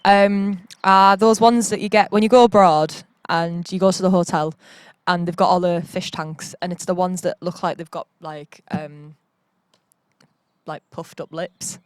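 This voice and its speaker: A woman's voice: lowish voice, fairly even intonation, measured pace, clear, resonant tone, no echo. A woman from northeast England, chatting in a rambling, upbeat way outdoors. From Durham, northeast England. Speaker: band member asking questions. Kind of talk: casual chat.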